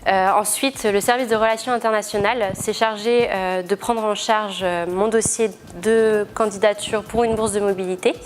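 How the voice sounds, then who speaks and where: A woman's voice: medium pitch, steady tone, moderate pace, hardly any pauses, slight background noise. Young female student, mid-range voice, earnest formal testimonial delivery. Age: young adult. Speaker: student back from internship abroad. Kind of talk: interview testimonial.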